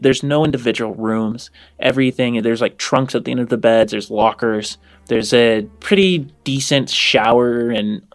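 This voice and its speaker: A man narrating a tabletop game casually as game master, rephrasing as he improvises his descriptions. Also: medium pitch.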